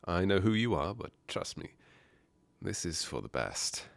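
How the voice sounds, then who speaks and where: A man's voice: low voice, sing-song, unhurried, gravelly, no room sound, exaggerated voice. A man playing a charming, teasing masked nobleman in a romantic ASMR fairytale roleplay, suave and playful with courtly phrasing. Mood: tender, regretful.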